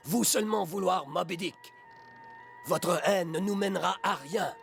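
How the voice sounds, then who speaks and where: An actor playing a loyal, principled harpooner in a cartoon, speaking solemnly in broken grammar. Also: male voice, high voice, measured pace, gravelly, a bit bright, soft background music, exaggerated voice. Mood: solemn, defiant. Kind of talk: French cartoon dub acting.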